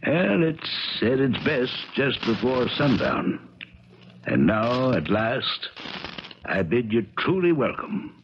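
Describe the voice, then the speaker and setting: An actor in a 1970s radio drama playing an eccentric, controlling older uncle with a courtly, theatrical, morbid manner. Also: male voice, mid-range voice, very animated, slow pace. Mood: courtly pride. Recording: dull, noisy, crackly 1970s radio recording.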